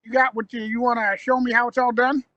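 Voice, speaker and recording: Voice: medium pitch, flat delivery, quick pace, pushed and bright, no room sound, dull audio, close to an everyday voice. An actor playing a confident, sceptical young man in an audio drama, blunt and wry. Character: confident, sceptical young male adventurer Steven.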